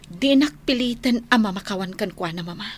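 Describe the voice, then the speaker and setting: A woman's voice: mid-range voice, lively intonation, brisk, lightly acted. Woman acting a wounded, unforgiving young daughter. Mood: resentful. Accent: Ilocano. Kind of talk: radio drama acting. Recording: slightly noisy AM radio.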